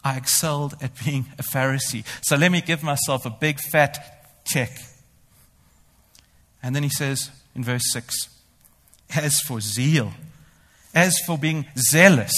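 A man preaching with an animated, ironic delivery that switches into first-person boasting. His voice sits a little high for a man. The sound carries a long hall reverb, as if from a church. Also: slow, long pauses. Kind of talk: sermon.

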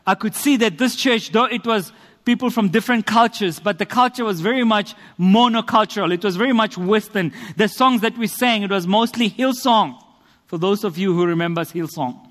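High-voiced South African man preaching. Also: fairly bright tone. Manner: earnest, rhetorical, builds emphasis through repetition. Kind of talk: sermon with personal testimony. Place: church hall. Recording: long reverb of a church hall.

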